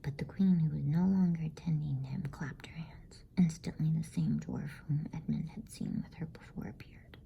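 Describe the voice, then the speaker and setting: A woman reading a bedtime story in a soft ASMR whisper. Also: sits low, fairly even intonation, normal speed, gravelly, hardly any pauses, lo-fi sound, a little hiss or hum.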